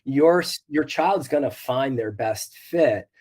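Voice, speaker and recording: A slightly hoarse man talks things through as a school counselor on a panel. His pitch sits in an ordinary middle range. He is earnest, repeats words as he restarts his sentences, and laughs softly now and then. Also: animated, slow. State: hoarse from a cold. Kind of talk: panel discussion.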